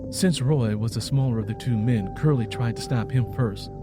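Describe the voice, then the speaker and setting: A man reads dramatic scripted fiction as its narrator. His pitch sits in a medium male range, and music plays clearly behind him. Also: moderate pace. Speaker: author and narrator.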